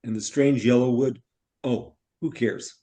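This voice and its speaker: A man playing a middle-aged newcomer farmer in a stage play, musing and curious in a deliberate, ruminative manner. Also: mid-range voice, steady tone, warm tone, natural voice. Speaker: actor in a staged reader's theater.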